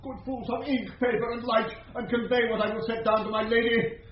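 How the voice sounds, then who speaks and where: Desperate captive, very high voice, insistent and formal, echoing as if from a cell. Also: male voice, flat delivery, a little fast, a little hiss or hum. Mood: urgent pleading. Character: wronged, desperate prisoner locked in darkness, pleading sanity. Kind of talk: 1970s BBC radio Shakespeare acting. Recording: echoing cell, muffled old radio recording.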